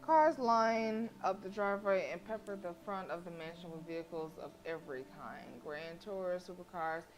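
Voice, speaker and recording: A woman live streamer reading a visual novel aloud expressively, breaking in with slangy, casual interjections. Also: lowish voice. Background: game music.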